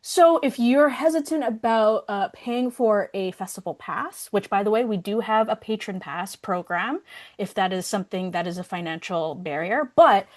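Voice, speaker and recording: A woman speaks as the host of an online workshop, giving announcements in a casual way. Her delivery is informal and chatty, and she often breaks off to interrupt herself. Her voice sits at an ordinary middle pitch. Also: normal speed. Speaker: workshop host and event organizer.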